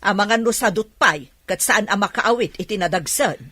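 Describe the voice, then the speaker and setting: A woman's voice: medium pitch, moderate pace, bright, forceful tone, no echo. A woman with a Filipino accent playing a scolding, sceptical factory woman in a radio comedy-drama. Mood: scolding, incredulous. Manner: scolding, incredulous.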